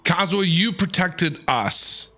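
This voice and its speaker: Animated, bright-voiced male game streamer. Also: sits high, takes it slowly. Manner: joking, expressive reactions. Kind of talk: casual game commentary.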